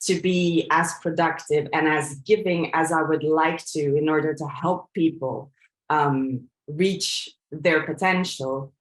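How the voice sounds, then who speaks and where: A woman in her forties from Belgium, a coach giving a presentation in an earnest, reflective way, often pausing on fillers and restarting her sentences. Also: low voice, fairly even intonation, echoey room, poor audio. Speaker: coach and trainer. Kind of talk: presentation to an audience.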